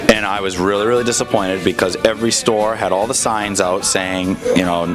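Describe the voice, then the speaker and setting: A man's voice: fairly low pitch, steady tone, a little fast. A man being interviewed, casually telling a personal anecdote with emphatic phrasing. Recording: music playing under the voice.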